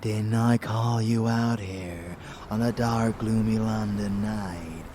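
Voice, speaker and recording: A man playing a haughty, seductive and menacing vampire lover in an intimate ASMR roleplay, with breathy, teasing murmurs. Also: on the low side, steady tone, noisy background. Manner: breathy whispering murmurs, slow and deliberate, teasing.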